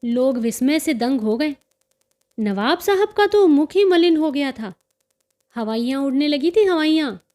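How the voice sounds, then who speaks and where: Woman voicing a boastful, high-voiced teenage dandy. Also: a little slow. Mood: excited, proud. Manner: boastful, excitable storytelling. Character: cheerful boastful teenage dandy and bird-fighting enthusiast.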